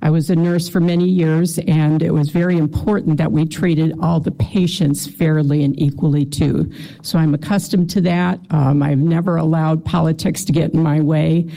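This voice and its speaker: Woman candidate with a gravelly, mellow voice. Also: deep voice, fairly even intonation, normal speed, low-quality recording. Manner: self-assured, many ums and uhs. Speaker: county clerk candidate. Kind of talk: candidate forum answers.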